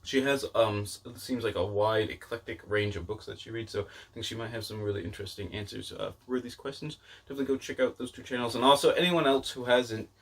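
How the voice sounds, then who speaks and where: A man's voice: mid-range voice, steady tone, quick pace, hardly any pauses. A man talking casually to camera as a vlogger, in a rambling way that often doubles back mid-thought. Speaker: book vlogger and channel host.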